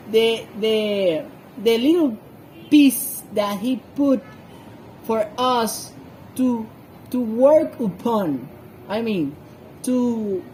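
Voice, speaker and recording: A woman with a high voice speaks English as a second language, sharing informal scripture commentary. She goes slowly and haltingly, searching for her words. There is faint noise in the background. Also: warm tone, poor audio. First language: not a native English speaker. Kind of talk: informal Bible commentary with personal anecdotes.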